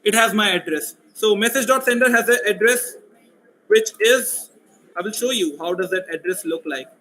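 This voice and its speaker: A man running a live coding workshop, speaking loudly and asking his audience questions. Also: high-pitched, moderate pace, echoey room, slight background noise, rough recording. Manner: loud voice, asks the audience questions. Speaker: workshop instructor. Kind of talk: live coding workshop, mixing English and Hindi.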